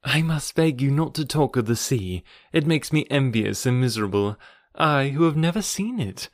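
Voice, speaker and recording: Here is a man reading as a quick, tactful young woman from a classic novel, lightly teasing. The delivery stays close to his own voice, with a dry sound. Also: mid-range voice, expressive, dry recording, lightly acted. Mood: brisk teasing.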